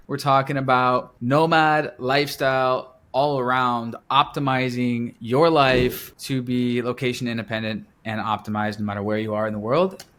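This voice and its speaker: A man's voice: mid-range voice, a bit bright. A man from the United States, hosting a podcast, introducing his guest in an upbeat way and asking questions. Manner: upbeat, repetitive listing. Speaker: podcast host.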